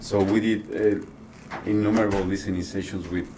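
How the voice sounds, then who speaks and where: Deep-voiced man, halting answers. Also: level tone. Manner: halting, repeats words mid-sentence. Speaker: loudspeaker designer and company co-founder. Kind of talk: casual interview answers. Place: busy audio trade show. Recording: noisy trade show floor.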